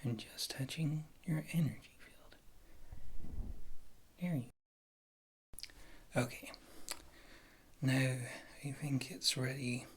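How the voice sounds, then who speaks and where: A woman playing a wry witch healer in an ASMR fantasy roleplay, speaking softly and often slipping into a whisper, in a rambling, casual, reassuring way. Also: rumbling low, slow pace, long pauses, slight background noise, heavily acted. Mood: soothing, focused. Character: wry, thrifty witch healer, a former adventurer.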